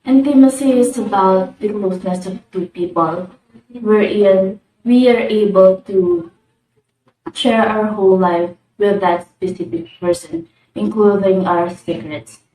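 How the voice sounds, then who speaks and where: A twenty-two-year-old woman gives a prepared explanation in a medium-pitched voice. She sounds distant from the mic in an echoey room, with faint noise. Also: darkish tone.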